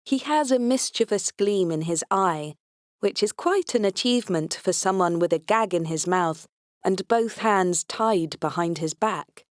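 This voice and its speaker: A woman's voice: medium pitch, expressive, a little slow, no echo, clean studio sound. A woman reading a science fiction comedy audiobook aloud in the first person, with a wry, tongue-in-cheek delivery. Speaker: audiobook narrator.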